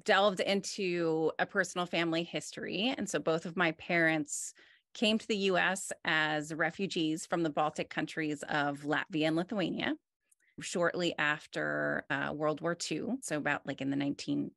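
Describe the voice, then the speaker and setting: Woman, 40s, low and a bit gravelly, dry close video-call sound; relaxed, thoughtful interviewee. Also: no echo. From United States. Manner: relaxed, thoughtful, many fillers and restarts. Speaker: artist. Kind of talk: conversational interview. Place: home room with wood-panelled wall.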